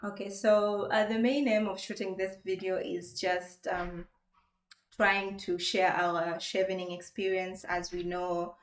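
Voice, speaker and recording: A woman's voice: mid-range voice, flat delivery, unhurried, reverberant. A young woman from Tanzania hosting a casual interview chat, upbeat and laughing often. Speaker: host.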